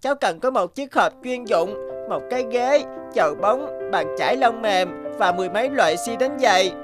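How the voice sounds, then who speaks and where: A woman as an earnest, high-voiced street boy. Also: lively intonation, moderate pace, lightly acted. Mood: earnest pleading. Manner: polite, eager. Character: polite, earnest, determined young street boy. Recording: music behind the voice.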